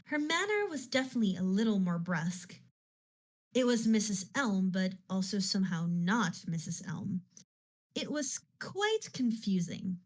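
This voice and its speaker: A woman from the Netherlands reading a novel aloud on a live stream, calm and chatty between chapters. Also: mid-range voice, no room sound. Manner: calm, chatty asides to viewers. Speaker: audiobook reader and streamer.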